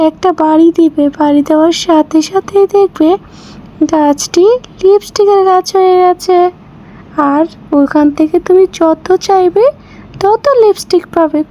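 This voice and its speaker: A woman's voice: very high voice, mellow. A woman voicing a big-hearted, reassuring fairy in a children's cartoon.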